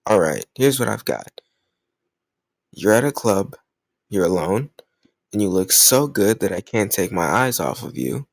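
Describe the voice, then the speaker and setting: Man playing a cocky, gravelly flirt. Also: medium pitch, no echo, exaggerated voice. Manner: teasing, cajoling, smug, drawn-out words. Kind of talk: intimate romantic audio roleplay.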